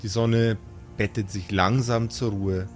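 A man narrating an improvised fantasy tabletop story as game master, in a measured, atmospheric way. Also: on the low side, fairly even intonation, faint music behind.

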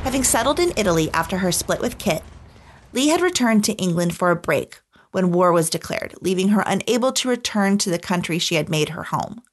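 A woman reading scripted documentary podcast narration in an articulate, measured storytelling manner. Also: lowish voice, animated.

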